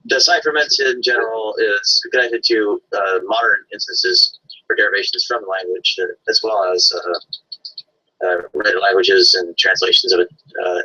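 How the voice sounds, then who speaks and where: A man's voice: low-pitched, sharp tone, slightly rough. A man debating in an online hangout, lecturing with many fillers. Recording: dull lo-fi stream audio.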